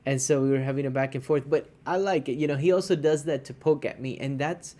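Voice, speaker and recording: A man's voice: mid-range voice, fast talker, mellow. A man co-hosting a podcast in casual conversation, often checking in with his partner and restarting his sentences. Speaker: podcast co-host.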